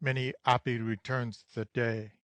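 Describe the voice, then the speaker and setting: A man's voice: medium pitch, flat delivery, a little slow, fairly bright tone, no echo, lightly acted. An actor playing an effusively courteous young foreign baron in his thirties, with a thick guttural voice, in a satirical audio play. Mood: gushing courtesy. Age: 30s. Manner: gushing, formal greetings.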